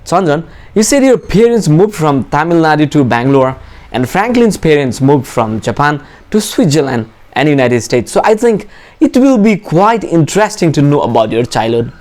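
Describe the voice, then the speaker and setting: A man's voice: fairly high pitch, sing-song, normal speed, darkish tone. A man hosting a TV talk show, formally introducing guests and reading prepared questions.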